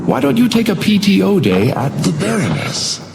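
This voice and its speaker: A man's voice: sits high, wildly swooping pitch, moderate pace, creaky, full character voice. A man voicing an uncanny, suavely menacing hotel doorman in a video game, theatrical and unctuously polite, with insinuating courtesy. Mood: courteous, insinuating. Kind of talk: scripted video game character lines. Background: music.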